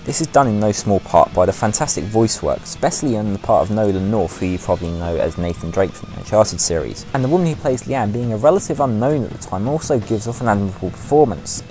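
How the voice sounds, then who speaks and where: A man's voice: fairly low pitch, expressive, fast, few pauses, darkish tone, poor audio. A man presenting an opinionated gaming countdown as a voice-over commentary. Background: music.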